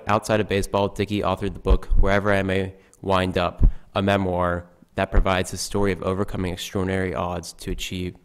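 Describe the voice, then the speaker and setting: A teenage boy reading a prepared speaker introduction at a school lectern, steady with small slips. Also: low-pitched, clean tone. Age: teens. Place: school auditorium stage at a lectern. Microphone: podium mic.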